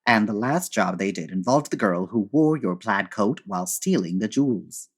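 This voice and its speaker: An actor playing a witty, unflappable gentleman sleuth in a comedy audio drama, talking in a suave, wry, old radio detective manner. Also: fairly low pitch, lively intonation, moderate pace, breathy edge. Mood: calm, explanatory.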